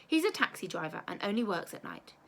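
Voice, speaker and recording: A woman teacher reading a children's storybook aloud in an expressive, measured storytelling way. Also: mid-range voice, vocal fry.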